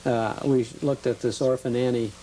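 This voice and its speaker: A man's voice: mid-range voice, fairly even intonation, normal speed, warm tone, slight background noise, rough recording. A man hosting a TV interview, asking curious, prompting questions and hesitating often along the way. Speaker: TV interview host. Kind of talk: conversational TV interview.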